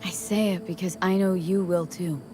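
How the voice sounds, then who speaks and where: A woman's voice: fairly low pitch, fairly even intonation, normal speed, over music, natural voice. An actress playing a young female mercenary in a video game, speaking in a casual, clipped, wry way. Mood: reassuring, reflective.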